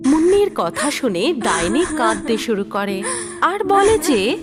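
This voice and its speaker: High, animated woman storyteller. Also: takes it slowly, over music. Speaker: storyteller, narrator. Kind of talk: scripted children's cartoon story narration.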